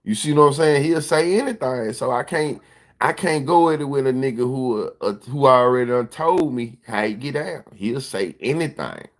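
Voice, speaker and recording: A man's voice: mid-range voice, lively intonation, normal speed. A man hosting a livestream in laid-back, slangy chat, often repeating his phrases. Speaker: livestream host.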